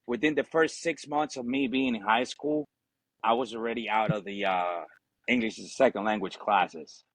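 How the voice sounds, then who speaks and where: A man's voice: fairly low pitch, normal speed, dry recording, low-quality recording. Man, forties, casual storyteller, informal salty wording, dry close recording. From Ecuador. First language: Spanish. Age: 40s. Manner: informal, frequent "you know" and "obviously", swearing. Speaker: podcast guest, Marine veteran.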